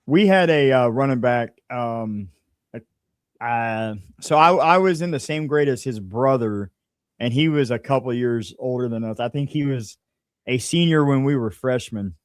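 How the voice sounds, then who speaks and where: Smooth-voiced man hosting a casual sports interview. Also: mid-range voice, unhurried, no room sound, clean studio sound. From small town in the United States. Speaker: interviewer, show host.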